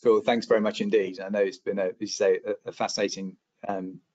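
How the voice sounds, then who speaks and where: A man's voice: lowish voice, level tone, fast, a little mellow, dry recording. A man hosting and moderating a webinar panel on a video call, hesitant, with many fillers and restarts. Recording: dry dull webcam audio.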